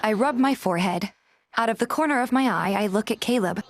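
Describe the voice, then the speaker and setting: A woman's voice: on the high side, brisk, natural voice. A woman playing a playful, bashful young heroine, reading scripted first-person romance narration and dialogue. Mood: fond, concerned. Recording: loud background music.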